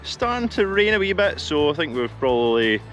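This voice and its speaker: A man's voice: on the high side, big pitch swings, brisk, a bit bright, lo-fi sound, soft background music. A middle-aged Scottish man with an easygoing, rambling way of talking and dry humour, co-hosting a hiking vlog outdoors in the hills. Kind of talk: casual outdoor chat. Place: mountainside outdoors.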